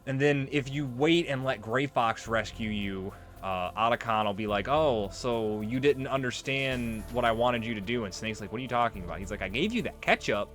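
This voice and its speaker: A man's voice: medium pitch, normal speed, hardly any pauses, a bit bright. A man giving casual, rambling let's play commentary, explaining things and drifting off on tangents. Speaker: let's player, video game commentator. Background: video game music.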